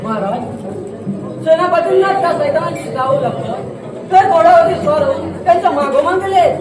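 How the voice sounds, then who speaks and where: A man's voice: very high voice, normal speed. A man playing a fierce, loyal prime minister of a kingdom, declaiming in a folk stage drama. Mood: respectful. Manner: declamatory, vowing. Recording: echoey hall, distant mic, loud crowd, music.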